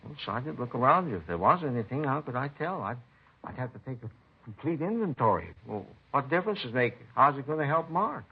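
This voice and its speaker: An actor playing an upset, reluctant pawn shop witness in a vintage radio crime drama, agitated in manner. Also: male voice, mid-range voice, sing-song, fast talker, breathy edge. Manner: agitated, protesting. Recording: muffled, faintly noisy vintage radio recording.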